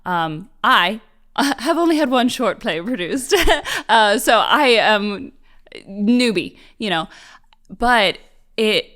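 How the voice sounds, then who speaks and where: A woman's voice: mid-range voice, expressive, takes it slowly, a bit bright. A woman co-hosting a podcast in a casual, conversational style. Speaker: podcast co-host, actor and new writer. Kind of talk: casual chat.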